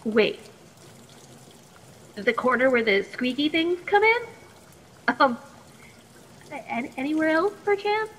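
A woman's voice: sits high, animated, slow, long pauses. A woman playing a plucky, nervous, chatty teenage captive in a radio play, stammering politely in a reverberant recording. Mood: flustered, defensive. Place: video call performance. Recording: long echoing reverb, faint noise.

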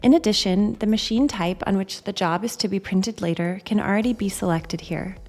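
A woman reading a scripted software demonstration in a measured, instructional way. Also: mid-range voice. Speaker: software demo narrator. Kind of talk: scripted tutorial narration. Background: background music.

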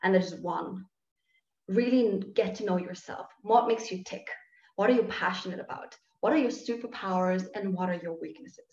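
A woman's voice: medium pitch, moderate pace, darkish tone. A woman in her thirties from Norway, earnestly telling her personal story on a video call from her living room. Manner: earnest, reflective, gestures while talking. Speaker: guest speaker, sociologist. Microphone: laptop webcam on video call. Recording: dull, roomy video call audio.

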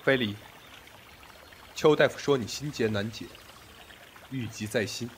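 An actor playing a proud, commanding sect master in a period audio drama, with imperious, formal speech. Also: male voice, medium pitch, measured pace, slight background noise, lightly acted. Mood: gentle concern.

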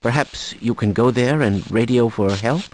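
A man playing an anxious, courteous older scientist in a video game, speaking in a measured way. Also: mid-range voice, darkish tone, slight background noise, close to an everyday voice. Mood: concerned, regretful.

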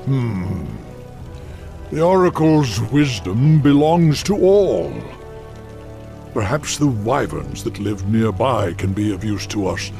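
Old beast chieftain, very gravelly, measured, solemn, wise, video game, music behind. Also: male voice, animated, mellow, full character voice. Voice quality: deep, gravelly. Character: old bull-man chieftain, huge, solemn, wise, protective.

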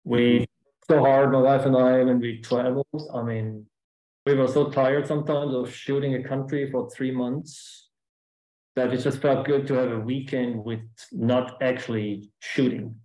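A man's voice: medium pitch, level tone, unhurried, mellow, reverberant, rough recording. A man with a German accent, a guest speaker answering questions casually on a video call, rambling with restarts.

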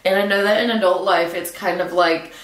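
A young woman with a stuffy nose, musing casually to camera in a relaxed way, with lots of filler words. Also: medium pitch, a little fast. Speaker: vlogger. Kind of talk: casual reflective monologue to camera. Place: small room indoors, seated in a hanging chair. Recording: roomy echo, mic at a distance.

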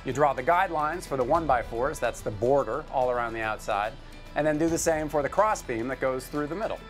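A man's voice: medium pitch, expressive, a little fast, faint music behind. A man in his thirties, a guest host on a TV studio set, explaining a woodworking project step by step in an easygoing, joking way on a lapel mic. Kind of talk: casual TV how-to chat. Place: TV studio living-room set.

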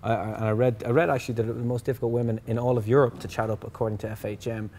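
A man's voice: medium pitch, level tone, very fast, a little mellow. An Irish man in his thirties chatting jokily as a comedy guest, often repeating words as he goes. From Ireland. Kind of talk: casual joking interview chat. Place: cluttered warehouse room, on a couch. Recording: faint background room noise.

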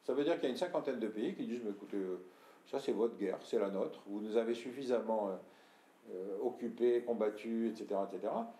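An older man from France giving a talk to an audience, explaining and addressing listeners directly, often clearing his throat. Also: on the low side, a little fast, dark tone. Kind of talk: lecture with audience questions. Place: room with audience. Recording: echoey room.

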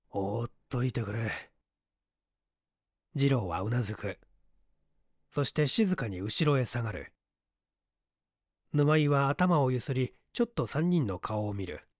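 Male audiobook narrator, unhurried, animated intonation, literary storytelling, close dry sound. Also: medium pitch, no echo. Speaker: audiobook narrator, stage actor. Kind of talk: dramatic scripted literary narration in Japanese.

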